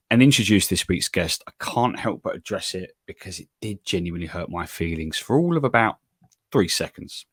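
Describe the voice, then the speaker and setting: A man with an English accent hosting a football podcast, talking calmly and evenly in a self-deprecating way. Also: low voice, no room sound, studio quality. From England. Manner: calm, even, self-deprecating. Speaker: podcast host. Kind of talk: solo podcast monologue and interview.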